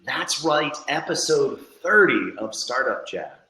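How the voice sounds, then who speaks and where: Male podcast co-host, medium pitch, playful and theatrical, recorded with noticeable room echo. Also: sing-song, slow pace, bright, forceful tone. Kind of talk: upbeat podcast introduction. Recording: roomy, echoing online call.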